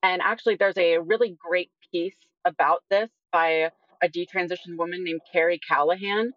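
Young woman, measured, slightly dull recording. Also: fairly low pitch, fairly even intonation, dry recording. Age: early 20s. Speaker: interview guest. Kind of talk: conversational interview answers.

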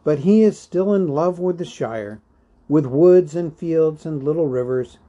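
A man's voice: a little high, animated, unhurried, warm tone, lo-fi sound, pitched above the performer's own voice. A man voicing an elderly hobbit in a storybook reading, fretful, stubborn and possessive, with a querulous, insistent manner. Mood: wistful, resolute.

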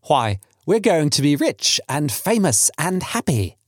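Man acting a cheeky, lively young son. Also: medium pitch, normal speed, no room sound, studio quality. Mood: playful. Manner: teasing, mock-serious.

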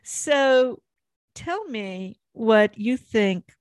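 A middle-aged woman hosting a relaxed, conversational interview. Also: a little high, very slow, smooth, no room sound. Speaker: interview host, former small-town mayor.

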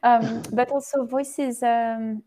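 Woman, researcher guest; higher voice, mellow tone; thoughtful, searches for words. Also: steady tone, slow pace. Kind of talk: conversational podcast interview.

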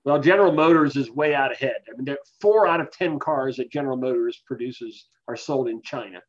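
Higher-pitched man, slightly dull lo-fi sound. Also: fairly quick. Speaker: expert guest, professor. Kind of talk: explanatory interview answers. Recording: dull, lo-fi voice capture.

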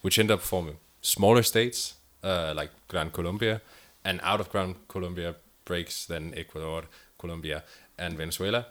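Deep-voiced man co-hosting a discussion. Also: fairly even intonation, moderate pace, few pauses, fairly bright tone. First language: Danish. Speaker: podcast co-host. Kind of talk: casual interview discussion.

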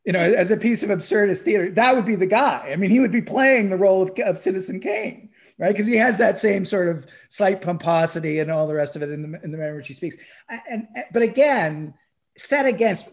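A man in a casual, enthusiastic conversation about film, halting and repeating words and phrases for emphasis. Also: high voice. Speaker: podcast guest or co-host discussing a film.

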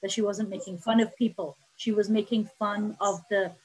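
A woman from Pakistan, a guest author who talks fast and often restarts her sentences in a casual interview. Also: mid-range voice, fairly even intonation, husky, poor audio. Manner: fast, restarting, stumbling over words.

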